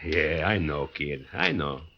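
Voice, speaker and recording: A man's voice: very deep voice, sing-song, takes it slowly, gravelly, slight background noise, full character voice. An actor playing a tough, sardonic crime-story man, blunt and wisecracking, in 1940s radio noir style. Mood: wry. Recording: muffled old 1940s radio recording.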